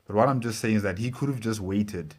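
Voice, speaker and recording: Man, low-pitched voice, casual reaction host, halting repetitive phrasing. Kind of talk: casual spoken reaction commentary.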